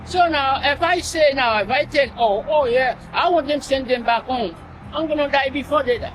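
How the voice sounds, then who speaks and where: Very high-voiced emphatic Haitian man. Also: moderate pace, noisy background. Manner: emphatic, clipped non-native phrasing. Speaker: food truck owner. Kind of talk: casual street chat. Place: outdoors by a street food truck.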